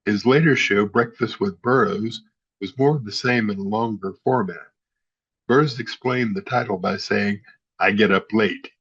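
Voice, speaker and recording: A man's voice: lowish voice, normal speed, fairly bright tone, breathy edge, muffled recording. A man narrating in a scripted style, reading encyclopedia-like history as a host.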